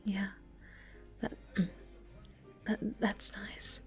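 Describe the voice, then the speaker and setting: Casting: woman to play snobby, bratty cat girl for intimate ASMR roleplay; unhurried, soft and breathy, coy, stammers when flustered. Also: mid-range voice, faint music behind. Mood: shy, soft. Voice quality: soft, often breathy and whispered. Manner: halting, stammering, coy, haughty.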